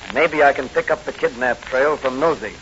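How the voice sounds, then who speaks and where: An actor playing a bold, commanding masked crime fighter in a declamatory 1940s radio style. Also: male voice, mid-range voice, a little fast, close to an everyday voice. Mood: determined. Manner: declamatory, thinking aloud. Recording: muffled, noisy old radio recording.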